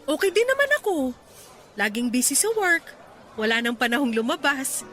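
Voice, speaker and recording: Bright, lively young career woman. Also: sits high, moderate pace, soft background music. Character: young woman Mylene, hardworking, devoted to her mother. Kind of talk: radio drama acting.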